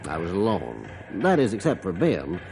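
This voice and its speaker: An actor playing an earnest farmer who tells stories deliberately and expressively in an old-time radio drama. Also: male voice, low voice, wildly swooping pitch, heavily acted. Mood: earnest, reflective. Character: earnest farmer recounting his dog's heroics. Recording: faintly noisy vintage radio recording.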